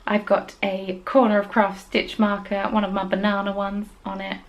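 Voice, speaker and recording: A young woman hosts a casual podcast. She talks in a chatty, rambling way and drops her voice to a whisper for quick asides. Her pitch sits in the middle of the usual range. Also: monotone, highs cut off. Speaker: podcast host. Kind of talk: casual chat about knitting.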